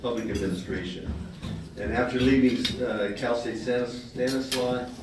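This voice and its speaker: A man's voice: fairly low pitch, background noise. A man hosting a club meeting, reading out a speaker's introduction with small stumbles. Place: dining hall during a meal. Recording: echoey hall, mic far off, dishes clinking.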